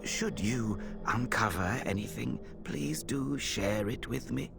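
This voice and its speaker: A man playing a courteous, grave healer in a video game, speaking in a formal, deliberate way. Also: mid-range voice, vocal fry, loud music behind the voice. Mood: grave, apologetic. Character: courteous, grave male healer, apologetic and caring. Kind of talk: dramatic video game cutscene acting.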